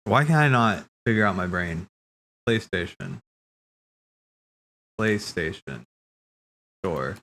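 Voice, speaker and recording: A man's voice: low voice, expressive, slow, pauses often, pushed and bright. A man chatting casually on a livestream as he watches game cutscenes, rambling and thinking aloud.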